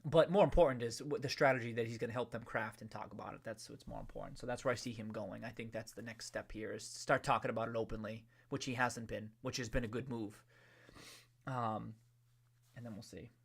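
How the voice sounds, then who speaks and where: A man's voice: mid-range voice, steady tone, fairly quick, gravelly, breathy edge. A man, hosting a livestream and chatting loosely with viewers, with many restarts and trailing phrases. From New England. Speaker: livestream host. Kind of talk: casual livestream chat reading viewer comments.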